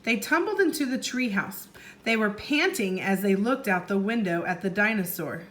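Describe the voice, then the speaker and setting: A woman teacher reading a story aloud to young children in an expressive way, pausing to explain and ask questions. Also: medium pitch. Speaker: first grade teacher.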